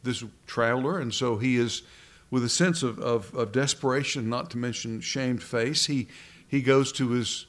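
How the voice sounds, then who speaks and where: A man preaching from scripture at a middle pitch, unhurried and thoughtful. He often stumbles and repeats words as he feels his way toward the next phrase, reading verses aloud between his reflections. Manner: halting, repeats words while searching for phrasing. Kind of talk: sermon.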